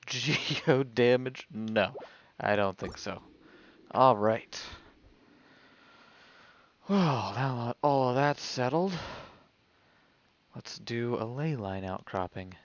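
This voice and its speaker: A man's voice: mid-range voice, muffled recording, a little hiss or hum. A man giving casual, offhand game commentary and chatting to viewers as he plays. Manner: offhand, talks to himself while playing. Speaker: video game livestreamer. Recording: game music behind the voice. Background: video game music.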